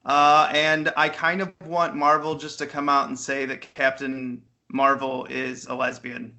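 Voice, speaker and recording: A man's voice: mid-range voice, flat delivery, unhurried, sharp tone. A man co-hosting a podcast, giving informal, opinionated takes in a casual film discussion over an internet call. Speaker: podcast co-host. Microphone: Skype call.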